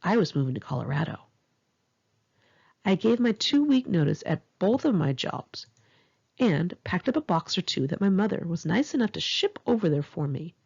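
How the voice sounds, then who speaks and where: Memoir narrator: Californian woman, gravelly and husky, lively intonation, candid conversational storytelling. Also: lowish voice, moderate pace, highs cut off. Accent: Californian American. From Los Angeles area, California, United States. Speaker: author reading own memoir. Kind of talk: narrated memoir audiobook, one-take home reading.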